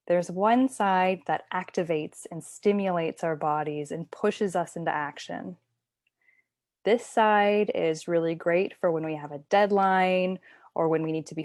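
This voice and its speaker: A woman leads a guided relaxation as a yoga nidra teacher. Her voice sits a little low and moves at a slow, unhurried pace. She instructs gently and soothingly, in a dry recording with hardly any room sound. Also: dry recording.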